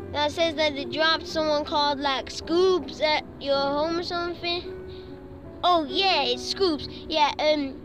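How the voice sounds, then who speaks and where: A puppeteer dramatically acting out several characters in an improvised puppet show. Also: high-pitched.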